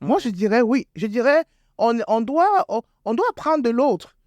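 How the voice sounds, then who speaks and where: A woman's voice: fairly high pitch, lively intonation, normal speed, darkish tone, dry recording. A woman who owns a beauty salon, answering interview questions in a hesitant way, with many fillers and repeated words, and rising sharply when she stresses a point. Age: adult with school-age children. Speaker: beauty salon owner, guest.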